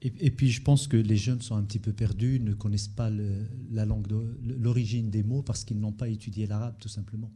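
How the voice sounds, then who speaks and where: Male panelist, low dark husky tone, measured explaining. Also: fairly even intonation. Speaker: panel guest.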